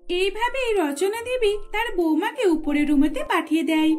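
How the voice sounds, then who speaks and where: A woman with an extremely high-pitched voice narrates a scripted cartoon tale. Music plays clearly behind her storytelling. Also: expressive, normal speed, a little mellow.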